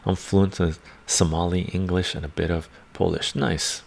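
A man's voice: deep voice, flat delivery, normal speed, vocal fry, a little mellow. An older man with a congested chest hosting a casual livestream from a home room full of bookshelves, reading viewer comments aloud and chatting with a chuckle. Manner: rambling, chuckling, reads chat aloud. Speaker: livestream host. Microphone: desk microphone in front of him.

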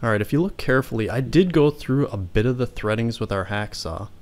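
A man's voice: medium pitch, expressive, brisk, heavy background noise. A man hosting a home repair tutorial, talking plainly step by step as he explains the work. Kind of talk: how-to narration and muttering while working.

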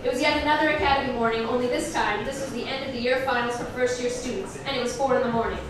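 A woman performing a comedic fan fiction reading on stage, nerdily enthusiastic, mixing mock-dramatic delivery with deadpan, self-deprecating asides. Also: a little high, very fast. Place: indoor live comedy reading event before an audience. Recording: roomy echo, distant mic, audience crowd noise. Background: audience laughter.

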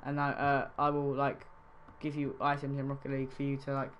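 A man's voice: medium pitch, completely flat delivery, a little fast, a bit bright, highs cut off, slight background noise. A young man from Wales streaming a game trading session, chatting casually and reacting to his live chat.

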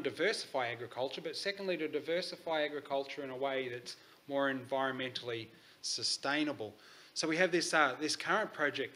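A man's voice: medium pitch, fairly bright tone, slight background noise. An Australian man giving a conference presentation, talking in a hesitant way with frequent ums. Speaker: conference speaker. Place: conference hall.

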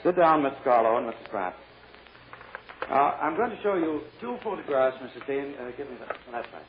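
A man acts a methodical prosecutor in a radio drama. His mid-pitched voice swings widely as he questions deliberately and courteously, with halting pauses. The old recording is muffled and noisy. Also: animated, natural voice. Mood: formal, deliberate. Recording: muffled vintage radio recording with clear noise.

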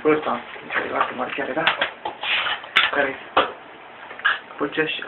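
A man in his thirties from Romania rambles haltingly through a casual, improvised exercise demonstration at home, swearing mildly. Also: medium pitch, fairly even intonation, gravelly. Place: small room at home. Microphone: webcam mic at a distance. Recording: tinny noisy webcam audio, distant mic.

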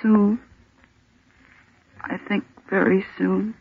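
An actress playing a desperate, frightened woman trapped in the dark, in an intense old-time radio drama style. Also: mid-range voice, little pitch movement, very slow, husky, exaggerated voice. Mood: hushed dread. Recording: dull, lo-fi old radio recording.

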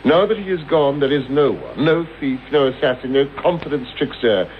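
An actor playing an arrogant, caustic middle-aged English gentleman detective in a radio drama, with a theatrical, sardonic manner. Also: mid-range voice, sing-song, normal speed, lightly acted. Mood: grandly confident. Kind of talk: 1980s radio drama acting. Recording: muffled, hissy old radio broadcast.

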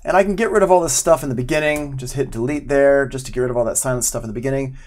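A man's voice: mid-range voice, rapid-fire. A man in his forties giving an informal live online tutorial as an audio instructor, explaining step by step in a casual, conversational way. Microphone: external microphone at a desk, with headphones.

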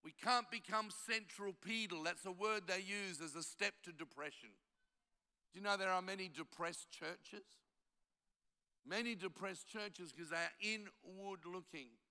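An older man in his seventies preaches to a conference, his voice high, bright and ringing clear. He grew up speaking Italian at home and tells stories with a dry, self-mocking humor, then presses his point hard. A long hall reverb trails each phrase. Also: unhurried. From Australia. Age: 70s. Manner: storytelling with self-deprecating jokes, rising to emphatic exhortation. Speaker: pastor. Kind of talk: conference sermon.

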